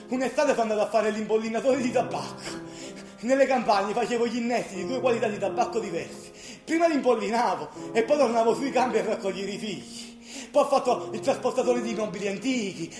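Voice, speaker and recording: Nostalgic young working man, southern Italian accent, emotional radio drama storytelling, echoey room, clear music backing. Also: high-pitched. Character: restless, nostalgic young precarious worker, male. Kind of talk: theatrical radio monologue. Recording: roomy stage sound under loud music.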